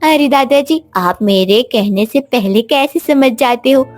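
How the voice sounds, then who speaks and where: Lady doing a eager little village girl, high pleading voice, cartoon story, music behind. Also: a little slow. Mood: eager, coaxing. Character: eager, trusting young village girl, easily upset. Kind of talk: animated moral story dialogue.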